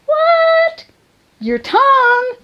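High-voiced older woman telling children's stories. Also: very slow, highs cut off. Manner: playful, expressive, addressing children directly. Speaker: children's storyteller. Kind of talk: animated storytelling for young children. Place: indoors in front of a curtain, filmed for online program.

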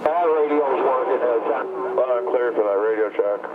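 A man's voice: mid-range voice, normal speed, background noise. A man answering a radio check over the air, brief and friendly. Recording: lo-fi radio transmission from far off.

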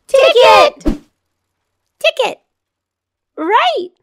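A woman's voice: very high-pitched, big pitch swings, slight background noise, heavily acted. A woman voicing a cheerful, curious little girl who kindly guides young viewers in a children's cartoon. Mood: excited, encouraging. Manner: slow, clearly enunciated, questioning, exclaiming. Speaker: host character of a children's cartoon.

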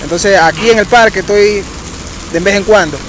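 Young man, street musician, relaxed halting answers, high voice, outdoor noise. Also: rough recording. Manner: relaxed, hesitant, with restarts. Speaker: street marimba player. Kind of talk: casual interview answers. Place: city park outdoors.

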